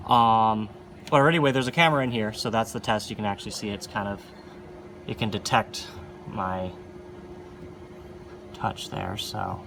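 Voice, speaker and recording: A man's voice: fairly low pitch, fairly even intonation, normal speed, gravelly, pauses often, background noise. A man giving casual, unscripted hands-on commentary as a hobbyist, offhand and often muttering to himself while he handles equipment. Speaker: hobbyist arcade machine video maker.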